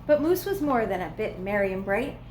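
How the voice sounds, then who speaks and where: A woman reading a children's storybook aloud as a preschool teacher would, with expressive, playful emphasis. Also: medium pitch, fairly quick, a little hiss or hum. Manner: dramatic, playful emphasis on repeated words.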